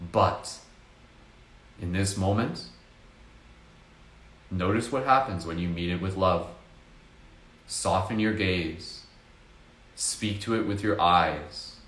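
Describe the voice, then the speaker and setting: Low-voiced guy talking you through a meditation, slow and soft, kinda echoey room. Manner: slow, soft-spoken, hypnotic with casual fillers. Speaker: meditation guide. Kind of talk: guided meditation.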